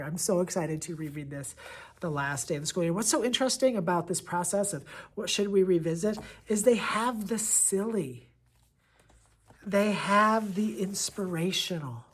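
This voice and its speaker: Enthusiastic American man talking books. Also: fairly high pitch. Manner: enthusiastic, gushing, emphatic. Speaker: elementary school teacher and book reviewer. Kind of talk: casual book-recommendation vlog.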